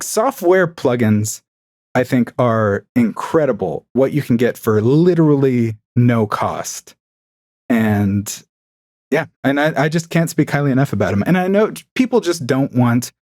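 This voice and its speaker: A man's voice: mid-range voice, darkish tone, no echo, studio quality. A man chatting casually and enthusiastically as a podcast guest, recording from a home room with acoustic paneling. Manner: enthusiastic, chatty, with frequent fillers. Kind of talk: casual chat.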